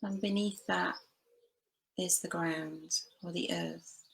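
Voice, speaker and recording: A woman leading a guided body scan meditation, giving gentle, unhurried instructions with long pauses between phrases. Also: on the low side, fairly even intonation, vocal fry. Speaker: meditation teacher.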